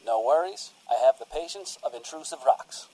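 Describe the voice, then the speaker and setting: Radio comedy guest: a sincere, rambling small-town cult father voiced by a man, relaxed and dark-toned. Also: fairly high pitch, moderate pace, low-quality recording. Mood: earnest. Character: earnest, folksy male priest of a rock cult. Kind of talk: comedic radio-play interview.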